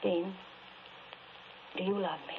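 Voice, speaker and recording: An actress playing a grieving, desperate widow in a melodramatic 1940s radio drama, intense, moving between hushed murmurs and pleading. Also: female voice, on the low side, steady tone, slow. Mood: grave, resolute. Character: grieving young widow, desperate and obsessively devoted to her dead husband. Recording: muffled, noisy 1940s radio recording.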